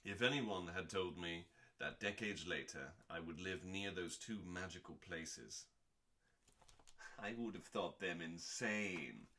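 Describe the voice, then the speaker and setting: A man hosting a dramatic scripted reading in a deliberate, theatrical manner with pauses and dry, deadpan delivery. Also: low voice. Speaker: host.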